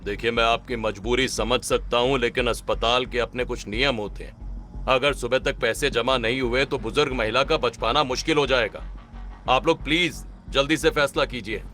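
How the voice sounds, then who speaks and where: A man playing a grave, polite hospital doctor in a story drama, measured and formal. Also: medium pitch, fairly even intonation, sharp tone, soft background music, close to an everyday voice. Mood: firm, sympathetic.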